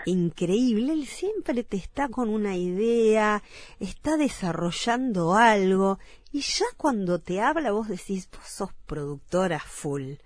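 A woman's voice: mid-range voice, no echo, studio quality. Uruguayan woman hosting a radio chat. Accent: Uruguayan Spanish. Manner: chatty and warm with the guest, frequent "ujú" and "claro". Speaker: radio host. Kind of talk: casual radio interview.

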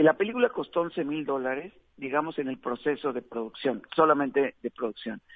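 A man's voice: mid-range voice, vocal fry, no room sound. Mexican guy chatting about his film, laid-back, lots of fillers, thin phone-line sound. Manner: relaxed, chatty, frequent fillers, laughs easily. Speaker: film director. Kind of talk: radio interview. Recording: tinny dry phone line.

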